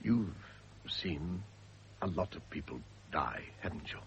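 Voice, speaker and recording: Desperate condemned prisoner in old radio play, voice swooping all over, hissy recording. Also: male voice, low voice, animated, fairly bright tone, full character voice. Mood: dread. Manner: agitated, halting. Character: terrified condemned male prisoner, frantic and desperate. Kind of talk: 1970 radio drama acting.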